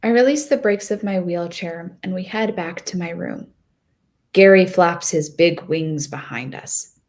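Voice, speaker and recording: A woman reads as a young girl narrating her own storybook adventure. She is hopeful and a little nervous. The voice sits fairly low and moves very slowly, with deliberate expression. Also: a little mellow. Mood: narrating, earnest. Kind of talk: first-person storybook narration.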